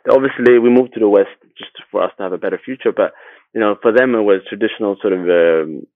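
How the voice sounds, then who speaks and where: A man from Sweden talking casually as an interview guest, haltingly, often repeating words and rephrasing as he goes. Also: lowish voice, no echo, narrow, tinny audio. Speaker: interview guest, agency founder.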